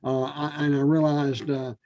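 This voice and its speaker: Halting man giving a critique. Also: a little high, flat delivery, a little mellow, dull audio. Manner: halting, many fillers and restarts. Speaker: writers' group panelist giving critique. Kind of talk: casual spoken critique.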